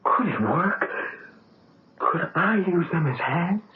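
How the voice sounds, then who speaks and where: A man's voice: high voice, lively intonation, slow pace. A man acting a desperate, crippled young pianist, pleading and distraught, in a 1970s radio drama. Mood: desperate, resolved. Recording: tinny, noisy vintage radio broadcast.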